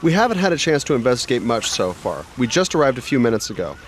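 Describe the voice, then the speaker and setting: A man's voice: mid-range voice, very animated, fast, lightly acted. A man playing a businesslike volunteer firefighter taking charge of a scene in a radio drama.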